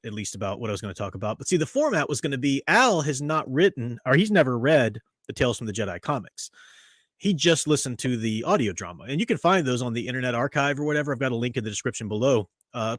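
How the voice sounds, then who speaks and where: A man's voice: mid-range voice, fairly bright tone, no room sound. Tired, rambling man with a dry sound. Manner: rambling, chatty, with many fillers and restarts. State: tired after a long day. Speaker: livestream host and reviewer. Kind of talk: casual livestream chat. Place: at home.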